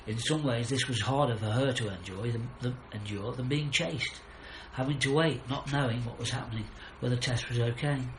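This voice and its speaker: A man's voice: medium pitch, moderate pace, few pauses. A man narrating a children's audiobook with dramatic storytelling, recorded in a roomy space. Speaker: author narrating own audiobook. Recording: roomy, faint background noise.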